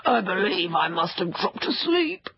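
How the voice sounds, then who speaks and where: A dry, slightly dull recording of a woman playing an ancient sand fairy in a children's storybook. The creature is husky and peevish, with swooping, self-important delivery that bristles with easy offence. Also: lowish voice, big pitch swings, measured pace, dry recording, exaggerated voice. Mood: sleepy, grumbling. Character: ancient furry sand fairy, crotchety, haughty, easily offended.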